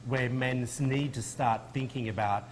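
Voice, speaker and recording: A man's voice: medium pitch, fairly even intonation, normal speed. A man hosting a daytime TV segment, asking a guest questions in an upbeat, chatty way. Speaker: TV host. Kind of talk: TV interview.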